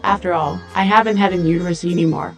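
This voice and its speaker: A woman playing a seductive, matter-of-fact cyborg dancer in an English-language audio drama, with flat, deliberate, formal phrasing. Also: on the low side, soft background music, lightly acted. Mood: seductive, direct.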